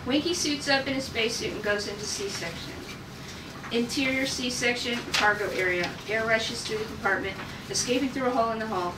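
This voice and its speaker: A woman's voice: fairly high pitch, a little fast. A woman reading stage directions aloud as narrator at a table read of a script. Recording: echoey room, distant mic, noisy.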